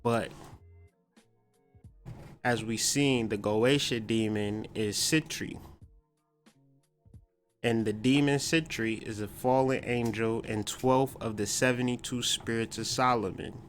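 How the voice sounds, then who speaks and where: A man's voice: medium pitch, steady tone, slow pace, long pauses, soft background music. An American man hosting a podcast, talking in a loose, slangy, stop-and-start monologue about spiritual ideas. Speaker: podcast host.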